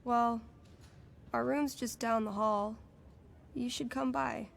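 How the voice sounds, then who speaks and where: Creaky-voiced friendly teen girl. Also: a little high, steady tone, slow pace, a little hiss or hum. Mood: relieved, friendly. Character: friendly, caring teenage girl. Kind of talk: naturalistic video game acting.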